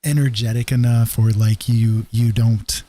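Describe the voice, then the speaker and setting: A man hosting a livestream, chatting casually in a halting, low-key, easygoing way. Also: flat delivery, dark tone. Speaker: livestream host. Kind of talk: casual rambling livestream chat.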